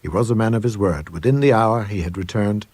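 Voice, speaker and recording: Man voicing a haunted, husky gentleman explorer. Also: fairly low pitch, a little fast, dry recording. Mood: grave. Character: haunted gentleman explorer recounting horror in his journal. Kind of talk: dramatic scripted horror monologue.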